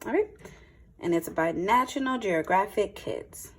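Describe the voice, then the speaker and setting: A woman teacher reading a picture book aloud to young children, with expressive phrasing and simple explanatory asides. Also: medium pitch, unhurried, slightly rough.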